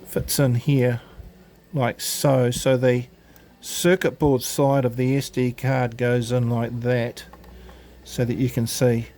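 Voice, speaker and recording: Man, mid-pitched voice, informal tutorial, casual, hesitant, step-by-step explaining. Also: flat delivery, slow pace, a little mellow. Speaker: presenter of an amateur radio gadget demo. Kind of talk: informal product demonstration, unscripted tutorial.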